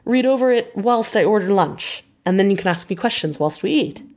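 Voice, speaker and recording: A woman's voice: medium pitch, lively intonation, brisk, darkish tone, close to an everyday voice. A woman voicing a polite, modest young married woman in an audiobook, with a demure, courteous and earnest manner. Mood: apologetic, polite.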